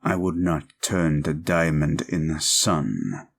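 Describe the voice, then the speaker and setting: Man playing a very deep, gravelly, controlling vampire. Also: animated, heavily acted. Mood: possessive, measured. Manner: formal, deliberate, commanding, with measured pauses. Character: suave, controlling male vampire, courtly and possessive. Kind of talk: scripted audio roleplay, slow intimate monologue.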